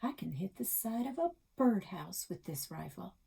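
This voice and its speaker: Storybook role read by a woman: tiny churchgoing mother, fearless and defiant, husky mid-range voice, firm delivery. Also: medium pitch, lively intonation, moderate pace, mellow, full character voice. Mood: coolly threatening. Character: tiny, fearless, devout mother facing down gangsters.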